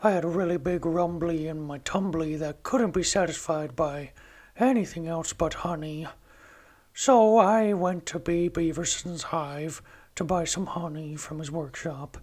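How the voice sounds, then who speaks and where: Sweet, slow-witted storybook bear, put on by a podcast host, soft and unhurried. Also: male voice, fairly high pitch, pitched above the performer's own voice. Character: gentle honey-loving male cartoon bear. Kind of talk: amateur storybook impression.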